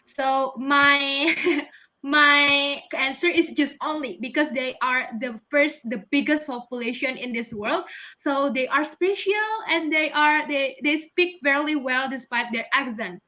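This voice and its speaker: Young indonesian woman on a webinar call, high bright voice, chatty and laughy. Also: a little slow. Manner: chatty, laughs often, many fillers and restarts. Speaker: guest speaker, English teacher. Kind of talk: webinar Q&A answers.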